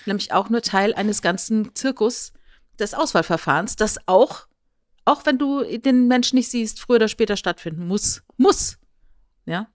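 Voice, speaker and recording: A woman's voice: medium pitch, animated, moderate pace, no room sound, studio quality. A woman discussing casually as a podcast co-host, making her points emphatically with rhetorical questions.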